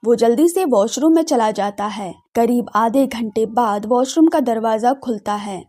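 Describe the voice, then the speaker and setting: High, mellow woman story narrator. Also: a little slow. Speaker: narrator, radio jockey. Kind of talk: scripted story narration for audio drama.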